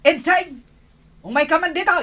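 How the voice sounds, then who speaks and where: A woman's voice: very high-pitched, moderate pace, sharp tone, no room sound. A woman with a northern Philippine accent playing a bossy, vain, nagging village wife in an audio comedy. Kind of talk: comedy audio drama acting.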